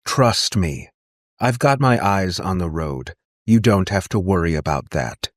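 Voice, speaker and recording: A man's voice: low voice, expressive, unhurried, no echo, studio quality. A man voicing a driven, emotional woman inventor in an audiobook, arguing with passion and defiance. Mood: defiant. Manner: impassioned, argumentative.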